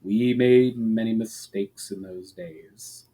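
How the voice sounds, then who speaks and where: A man's voice: fairly low pitch, slow, warm tone. A man voicing a noble, earnest elf warrior in a fantasy storybook, with a formal and respectful manner.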